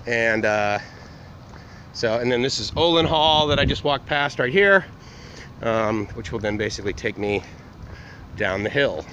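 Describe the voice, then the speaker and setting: A man's voice: medium pitch, expressive, measured pace, long pauses, fairly bright tone, lo-fi sound. A man talking casually to camera while walking outdoors, in a rambling, digressive way, often correcting himself. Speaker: vlogger. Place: outdoors, walking through a university campus. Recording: noisy outdoor recording with wind.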